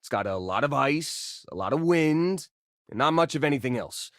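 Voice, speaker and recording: An actor playing an easygoing, wisecracking young space miner in a video game, with an American accent and a casual, joking delivery. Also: male voice, on the high side, animated, moderate pace, a bit bright, dry recording, clean studio sound. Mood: wistful, candid. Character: easygoing, wisecracking male space miner who collects rocks. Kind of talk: conversational video game character dialogue.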